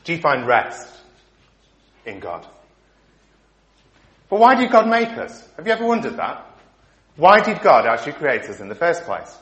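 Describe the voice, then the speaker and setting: A middle-aged man preaching a sermon, asking the listeners questions and dropping to soft endings, his voice giving out. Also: on the high side, sing-song, normal speed, long pauses, highs cut off. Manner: rhetorical questions, emphatic rises and soft endings. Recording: echoey hall.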